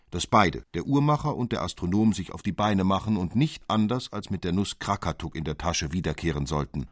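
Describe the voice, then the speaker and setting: A man with a deep voice narrates a literary fairy tale. His delivery is scripted and expressive, with a theatrical storyteller's touch. The sound is close and dry, free of room echo. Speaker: audiobook narrator.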